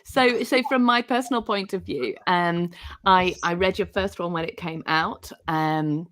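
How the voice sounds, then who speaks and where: A woman's voice: fairly low pitch, a little slow, fairly bright tone. A woman co-hosting an online discussion, talking casually and earnestly, effusive and repeating phrases for emphasis. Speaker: co-host. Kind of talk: casual, appreciative book discussion. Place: live online video call.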